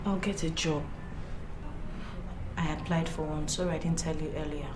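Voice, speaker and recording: A woman's voice: low-pitched, fairly even intonation, roomy sound, background noise, close to an everyday voice. A woman playing an anxious wife. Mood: fearful. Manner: hesitant, halting. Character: anxious fearful young wife. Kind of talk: film drama acting.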